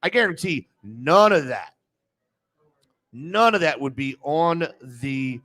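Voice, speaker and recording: Opinionated higher-pitched male sports host. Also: lively intonation, slow, a bit bright. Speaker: sports talk show host. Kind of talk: casual sports chat and banter.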